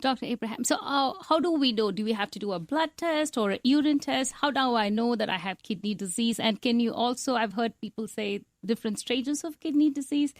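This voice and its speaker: A woman's voice: a little high, fairly quick, few pauses, no echo. A woman physician hosting a live health show, reading a scripted intro and asking her guest careful questions on a studio mic. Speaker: host, physician. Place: radio studio. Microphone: studio boom mic.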